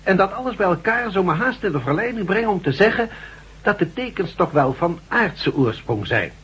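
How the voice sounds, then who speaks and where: A man's voice: high voice, sing-song, fairly bright tone, husky, full character voice. An actor playing a cautious professor who weighs his words carefully in a radio drama. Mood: thoughtful. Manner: measured, hedging. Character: Professor Van Kleef, cautious scholar of scripts. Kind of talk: 1970s radio drama acting. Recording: dull lo-fi radio recording.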